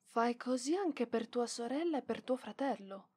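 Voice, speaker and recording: Donna che interpreta una giovane bibliotecaria orgogliosa, voce acuta. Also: female voice, a little high, expressive, darkish tone, husky. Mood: shyly grateful. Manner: hesitant, indignant, halting with sighs. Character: proud, flustered young female librarian, prickly but softening. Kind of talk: ASMR roleplay voice acting, one side of dialogue.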